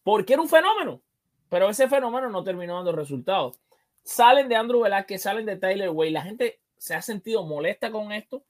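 A man hosting a sports show, discussing baseball casually and emphatically, often repeating phrases to drive a point home. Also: sits high.

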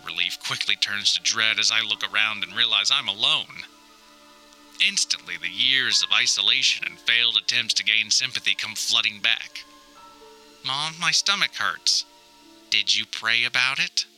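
A man's voice: fairly low pitch, moderate pace, pushed and bright, soft background music, low-quality recording. A man playing an anxious, wry grown son recording a wordy, mock-dramatic audio diary. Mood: dramatic despair. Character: anxious, wry grown son lost in hoarder mother's house. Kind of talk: scripted comic audio diary monologue.

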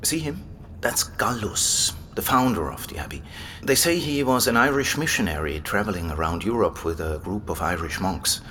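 A man's voice: on the low side, lively intonation, moderate pace, bright, forceful tone, a little hiss or hum. A man acting in an audio drama as an erudite, enthusiastic abbey librarian, explaining things like an eager scholar. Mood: keen explaining. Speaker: library guide and manuscript expert.

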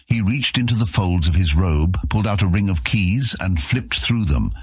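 A man reads scripted fantasy fiction as an audiobook narrator. His voice is deep and husky. The sound is very dry, with no room to it. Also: expressive, normal speed, dry recording.

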